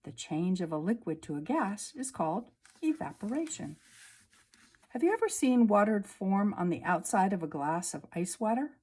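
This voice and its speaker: A woman teacher reading a children's science book aloud, slowly and clearly, at a table indoors. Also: mid-range voice, very animated, mellow. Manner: slow, clear storybook reading. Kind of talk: read-aloud lesson for children.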